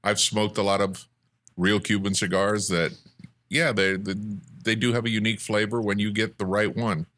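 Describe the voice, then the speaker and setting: A man's voice: on the low side, level tone, normal speed, fairly bright tone. An American man chatting casually as a guest in an interview. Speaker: interview guest, cigar brand ambassador. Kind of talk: casual chat.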